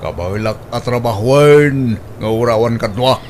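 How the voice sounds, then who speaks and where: A man's voice: medium pitch, moderate pace, a little mellow, lightly acted. A man playing a stubborn, argumentative old husband and father in a radio drama. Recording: faint background noise.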